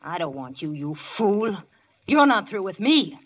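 An actress playing a scornful gold-digging wife in a 1940s radio melodrama, sneering and slangy, on an old radio recording. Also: female voice, mid-range voice, very animated, unhurried, highs cut off. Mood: contemptuous.

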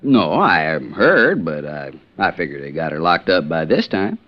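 Folksy, animated frontier lawman. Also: rumbling low, normal speed. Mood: soothing, admiring. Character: folksy, good-natured male frontier lawman. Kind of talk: 1950s radio western acting. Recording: dull vintage 1950s radio recording.

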